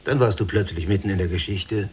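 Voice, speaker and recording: An actor playing a wistful, reflective young prisoner in a literary radio play. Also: male voice, low voice, a little fast, darkish tone, faint music behind, lightly acted. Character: wistful young male prisoner longing for stories.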